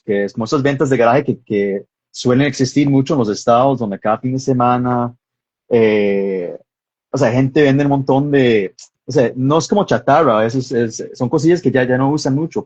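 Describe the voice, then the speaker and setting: Man, American Texan accent, husky, casual interview guest, halting word-searching delivery, dry lo-fi audio. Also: medium pitch, darkish tone, no room sound. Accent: American-tinged Spanish, from Texas. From born in Costa Rica, grew up in Texas, USA. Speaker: guest filmmaker. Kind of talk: casual interview, personal storytelling.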